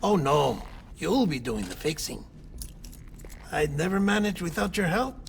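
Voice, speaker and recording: Creaky, higher-pitched, wry mission advisor. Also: male voice, big pitch swings, a little slow, slight background noise, exaggerated voice. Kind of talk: video game cinematic acting. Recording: rain and ambience behind voice.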